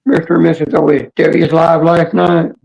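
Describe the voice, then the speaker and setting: A woman with a hoarse, raspy voice hosting a casual livestream chat in a rambling, halting, blunt way. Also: sits low, level tone, darkish tone, rough recording. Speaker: livestream host.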